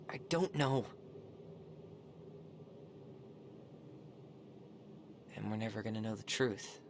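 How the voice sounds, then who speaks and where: A man's voice: on the low side, gravelly, background noise. An actor playing an earnest, supportive young man in a video game, speaking frankly and casually. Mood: frustrated, resigned. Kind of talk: naturalistic video game cutscene acting.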